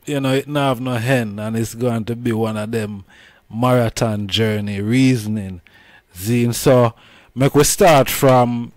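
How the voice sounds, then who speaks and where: Jamaican guy hosting a rasta chat, respectful patois, mid pitch, dry sound. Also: darkish tone, no echo. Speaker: host. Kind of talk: reverent, casual Rastafarian interview talk.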